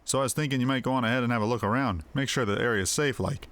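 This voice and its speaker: A man's voice: medium pitch, racing, fairly bright tone, lightly acted. A man voicing a rustic, plain-spoken caravan coachman in a video game, with a folksy, dryly matter-of-fact manner. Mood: wary, businesslike. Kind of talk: video game character dialogue. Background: game music.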